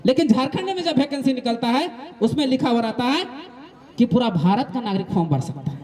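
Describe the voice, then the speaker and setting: A man's voice: extremely high pitch, expressive, brisk, darkish tone. A man with a Jharkhandi accent giving an emphatic political explainer speech, repeating points and posing rhetorical questions. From Jharkhand, eastern India. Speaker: activist speaker.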